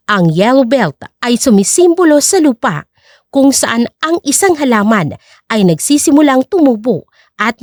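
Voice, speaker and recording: A woman's voice: high voice, darkish tone, no echo, clean studio sound. A woman giving dramatic scripted narration as the narrator of an audio drama.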